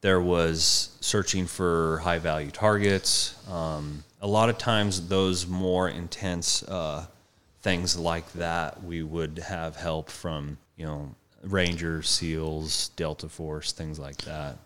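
Deep-voiced man recounting his service. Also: steady tone, fairly bright tone, smooth. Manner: halting, with many fillers and restarts. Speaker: interview guest, horse trainer and veteran. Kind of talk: casual conversational storytelling.

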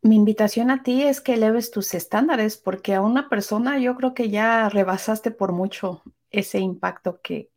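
A woman speaks in an encouraging, well-spoken manner, like a coach inviting her listener to aim higher. Her voice sits at an ordinary middle pitch for a woman, and she talks at an even, conversational pace. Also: moderate pace, hardly any pauses. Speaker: podcast co-host. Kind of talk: casual podcast conversation.

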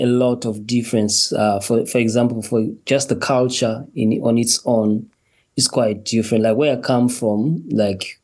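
A man from Zimbabwe, a podcast guest telling his personal story in a halting way, repeating words and laughing between phrases. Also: medium pitch, a little mellow.

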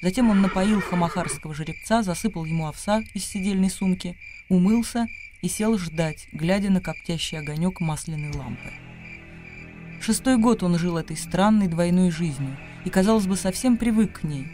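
A man's voice: high-pitched, moderate pace, darkish tone, faint music behind. A man narrating literary prose as the storyteller of a radio play.

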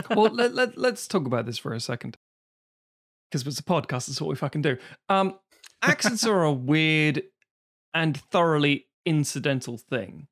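Sweary animated man ranting on a podcast. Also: fairly high pitch, normal speed. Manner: swears freely, animated rants. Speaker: podcast co-host. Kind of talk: casual podcast chat.

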